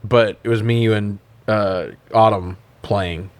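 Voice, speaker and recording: A man's voice: on the low side, steady tone, unhurried, no echo. A middle-aged American man co-hosting a podcast in casual, self-deprecating, sometimes sweary banter. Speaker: podcast co-host.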